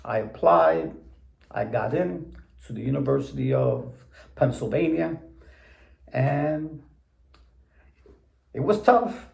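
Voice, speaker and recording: A man in his seventies, born in Puerto Rico, recalling his path to the bench in a slow, deliberate, plain-spoken way. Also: mid-range voice, big pitch swings, creaky, darkish tone, roomy sound. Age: 70s. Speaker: interviewee judge. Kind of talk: measured recollection in an interview.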